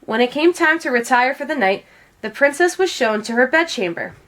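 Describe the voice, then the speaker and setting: A woman reading a children's picture book aloud as an expressive storyteller. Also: high voice, moderate pace, a little hiss or hum. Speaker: read-aloud storyteller, teacher.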